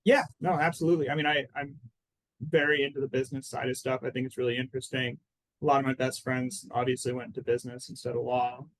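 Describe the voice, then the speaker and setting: A man's voice: mid-range voice, fast talker, rough recording. Male guest in his thirties from Phoenix; mid-range voice, easygoing and talkative. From Phoenix, Arizona. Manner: relaxed and chatty, lots of "like" and "I mean". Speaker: personal injury lawyer, guest. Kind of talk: casual interview answers.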